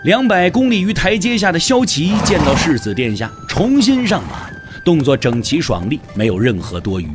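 A man's voice: a little high, wildly swooping pitch, moderate pace, hardly any pauses, music in the background. A man narrating a scripted martial-arts audio drama as a dramatic storyteller.

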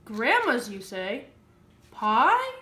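A sly, hungry puppet-show wolf played by a woman, high and slow, scheming with every drawn-out phrase. The voice swoops up and down in a roomy-sounding recording. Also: wildly swooping pitch, pushed and bright, exaggerated voice.

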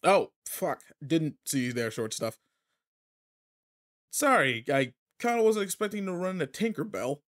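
A man's voice: fairly high pitch, animated, a little slow, fairly bright tone. A man playing a cocky, irritable teenage delinquent in a roleplay audio drama, talking casually and teasingly straight to the listener. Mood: irritated, teasing.

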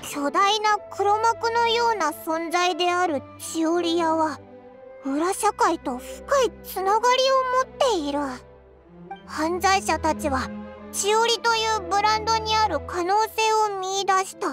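A woman voicing a small, childlike floating fairy companion with a squeaky voice in an anime game. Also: lively intonation, measured pace, a bit bright, faint music behind, heavily acted. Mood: reading aloud. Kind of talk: Japanese anime game voice acting.

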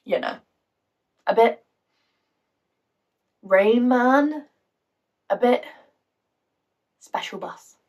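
A woman acting a theatrical monologue with a British accent as a bitter, wounded woman, sardonic, halting and emotionally volatile. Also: a little high, darkish tone, far from the mic. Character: bitter, wounded woman confiding a painful story.

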